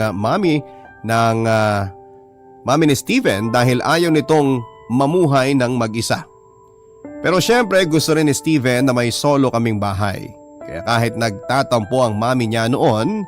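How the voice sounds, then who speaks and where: A man narrating a radio drama, reading out a personal letter as a story. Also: medium pitch.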